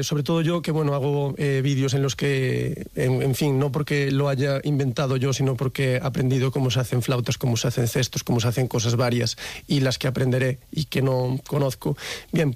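A man's voice: a little high, darkish tone, no room sound. A young man from Galicia, a guest explaining his craft videos in a radio interview, thoughtful and hesitant with many fillers. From Galicia, Spain. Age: young adult.

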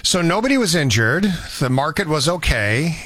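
A man's voice: fairly high pitch, sing-song, normal speed, fairly bright tone, no echo. A man hosting a show, telling news stories in a casual, conversational way with incredulous rhetorical questions. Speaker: radio show host.

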